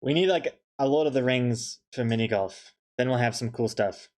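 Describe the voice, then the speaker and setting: A man's voice: medium pitch, normal speed, darkish tone. Chatty New man streaming. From New Zealand. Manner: chatty, jokey, thanking viewers. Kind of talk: casual chat while drawing on stream.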